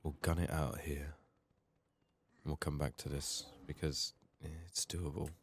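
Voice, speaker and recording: A man giving soft-spoken ASMR game commentary, murmuring haltingly and slipping into whispers, his voice strained from being sick. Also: extremely low pitch, level tone, husky. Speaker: ASMR gaming video host.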